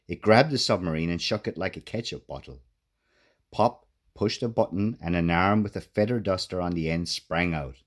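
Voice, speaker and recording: Deep-voiced man narrating a children's story. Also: normal speed. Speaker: author reading own children's book.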